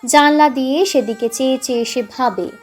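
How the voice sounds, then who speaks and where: A woman's voice: sits high, normal speed. A woman narrating a literary story expressively, audiobook style, with music underneath.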